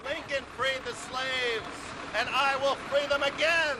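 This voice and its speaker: A hoarse man giving a deadpan mock campaign speech outdoors on a city street. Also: very high-pitched, measured pace. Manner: deadpan comic oratory, chanting slogans. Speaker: mock presidential candidate. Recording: loud crowd and street noise.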